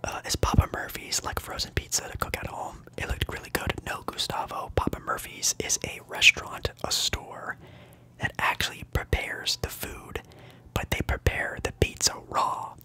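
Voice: moderate pace, sharp tone. A young adult ASMR livestream host whispering casually to viewers, rambling with fillers and repeating trigger words. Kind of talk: whispered ASMR chat answering live viewers.

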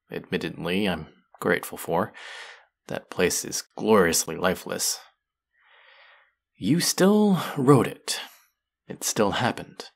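A low, unhurried villain voice, acted by a man for a fantasy audio roleplay. He is menacing and mocking, a vengeful genius who picks his words deliberately and speaks with intimate, sardonic threat. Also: vocal fry, fairly bright tone, heavily acted. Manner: slow, deliberate, sardonic, dropping into whispers.

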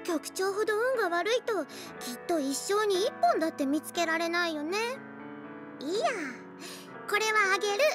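A woman's voice: extremely high pitch, expressive, moderate pace, over music. An actress playing a playful, cocky young girl assassin who loves gambling, in a cute, teasing anime game style. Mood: affectionate, teasing. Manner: cute, teasing, refers to herself by name.

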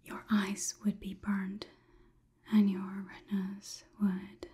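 A young woman playing a polite, curious, clinical robot overlord in a whispered ASMR roleplay, with measured, formal delivery. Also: medium pitch, monotone, warm tone, lightly acted. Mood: curious, deliberate. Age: young adult. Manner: slow, measured, deliberate, formal wording. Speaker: ASMR performer.

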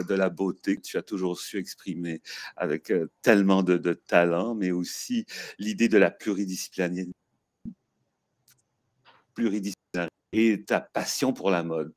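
An older man hosting an interview over a video call, effusive and full of hesitations. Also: deep voice. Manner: warm, effusive, frequent hesitations. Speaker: host. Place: stone-walled room indoors.

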